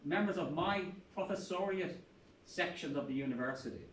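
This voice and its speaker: A man's voice: a little high, normal speed, a little hiss or hum. An older Irish man, a professor, speaking bluntly and passionately at a university talk, with salty humor. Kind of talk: public talk at a university event. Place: lecture room. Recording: echoey room, speaker far from mic.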